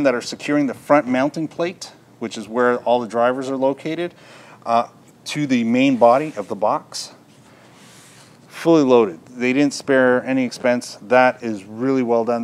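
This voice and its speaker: A middle-aged man with a mid-pitched voice talks through a product demonstration. He explains things informally and off the cuff, like a shop presenter speaking to camera. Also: darkish tone. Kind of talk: unscripted product demonstration talk. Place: indoor audio gear shop, at a counter.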